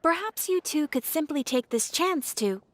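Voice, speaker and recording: A woman's voice: sits high, lively intonation, moderate pace. A woman voicing a polite, composed, friendly female guild receptionist in a video game. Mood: polite, pleasant. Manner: courteous, composed. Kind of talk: video game cutscene voice acting. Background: music.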